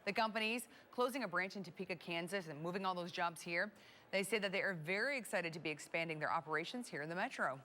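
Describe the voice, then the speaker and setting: A woman's voice: on the low side, fast, sharp tone, a little hiss or hum. A woman with an American accent reading scripted television news as an anchor in a studio.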